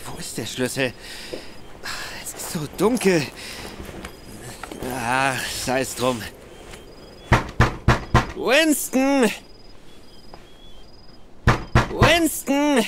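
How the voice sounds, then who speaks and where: Slurring, swooping drunken young gentleman. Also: high-pitched, big pitch swings, drawn-out words, pauses often, noisy background, exaggerated voice. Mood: drunken frustration. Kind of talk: audio drama acting.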